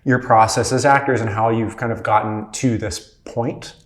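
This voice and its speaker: A man's voice: on the low side, fairly even intonation, moderate pace. A man hosting a casual interview, asking questions in a loose, rambling manner. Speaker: host. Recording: echoey room sound.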